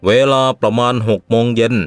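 A man's voice: moderate pace, music in the background. A man narrating a scripted story as a storyteller.

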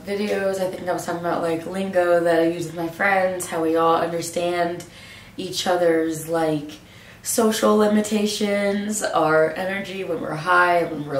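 A young woman with a stuffy nose, musing casually to camera in a relaxed way, with lots of filler words. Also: lowish voice, slight background noise. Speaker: vlogger. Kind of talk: casual reflective monologue to camera. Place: small room indoors, seated in a hanging chair. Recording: roomy echo, mic at a distance.

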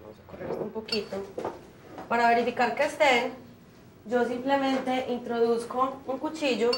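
A young woman hosting a cooking show, explaining each step to viewers in a brisk, friendly way from a small studio kitchen. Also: a little high, slight background noise, low-quality recording. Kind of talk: friendly televised cooking lesson.

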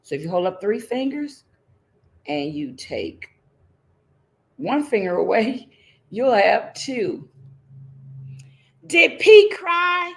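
Middle aged lady doing a kids book read aloud, takes it slow, teachery, asks the listeners questions. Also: on the high side, very animated, vocal fry. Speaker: read-aloud storyteller for young children. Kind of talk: interactive children's picture book read-aloud. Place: indoors in front of a green screen.

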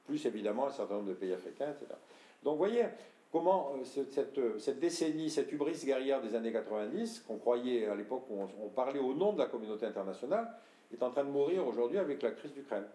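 An older man from France giving a talk to an audience, explaining and addressing listeners directly, often clearing his throat. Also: medium pitch, animated, fast talker, warm tone. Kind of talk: lecture with audience questions. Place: room with audience. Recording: echoey room.

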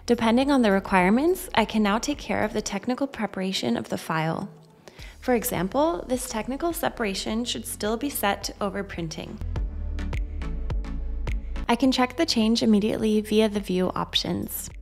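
A woman reading a scripted software demonstration in a measured, instructional way. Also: on the high side, expressive, creaky. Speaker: software demo narrator. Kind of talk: scripted tutorial narration. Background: background music.

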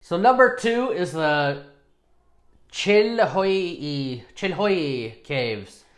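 A man in his thirties talks to camera as an informal presenter. His voice sits a little high for a man. He comes across as chatty and self-deprecating, speaking from a small indoor home studio. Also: animated, very slow. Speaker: presenter of dive videos. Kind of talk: casual video presenting to camera.

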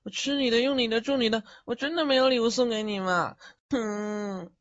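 A young man playing a gentle, naive ghost boy in a Chinese audio drama, speaking hesitantly. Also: very high-pitched, steady tone, brisk. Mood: shy. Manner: hesitant, soft-hearted, stammering. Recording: dull lo-fi audio with music.

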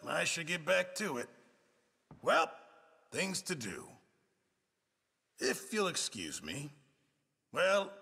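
An actor playing a gruff, fretful middle-aged camp cook in a Western video game, exasperated and husky. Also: male voice, fairly high pitch, in a large hall, heavily acted. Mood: polite, hurried. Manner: exasperated, excitable, swinging from mutters to shouts. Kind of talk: video game ambient character barks.

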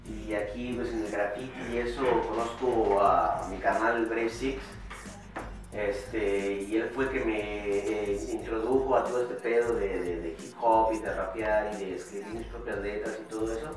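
A man's voice: on the low side, normal speed, far from the mic, soft background music. Laid-back man, echoey studio. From born in Chicago, raised in Mexico City. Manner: relaxed, slangy, many fillers and restarts. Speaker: rapper guest. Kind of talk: casual interview chat. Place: small home recording studio. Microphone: far camera mic. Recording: echoey room, voice from afar.

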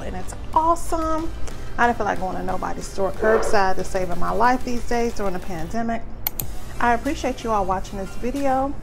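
A middle-aged American woman talks casually to her vlog audience from a home kitchen. Her voice sits at a medium pitch with noticeable creak. She is conversational and folksy, with music playing behind her. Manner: chatty, conversational, folksy slang. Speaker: lifestyle vlogger. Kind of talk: casual grocery haul vlog narration. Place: small home kitchen, indoors.

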